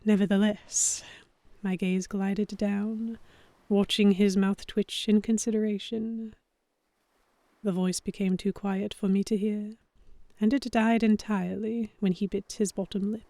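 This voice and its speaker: A woman playing a young, flustered heroine who narrates a pirate romance in the first person, with expressive dramatic delivery. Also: medium pitch, a little slow, dry recording, lightly acted. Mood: breathless longing.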